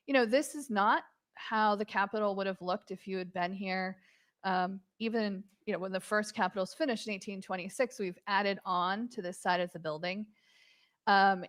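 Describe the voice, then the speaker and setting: A woman from Massachusetts gives live commentary in a mid-range voice. She speaks in a chatty, conversational way and uses many "um" fillers. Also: mid-range voice.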